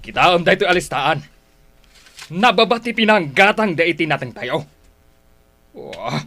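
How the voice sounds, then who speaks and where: A man's voice: high voice, animated, a little slow, pushed and bright, full character voice. A man playing an irritable, blaming husband and father in a Filipino radio drama, with an Ilocano accent. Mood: angry, blaming. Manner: grumbling, scolding.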